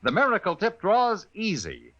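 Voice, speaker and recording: Male 1950s commercial announcer, bright forceful tone, hugely varied intonation, punchy salesman delivery. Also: high voice, wildly swooping pitch, moderate pace, lo-fi sound. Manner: punchy, emphatic, singsong salesmanship. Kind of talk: 1950s radio advertising pitch.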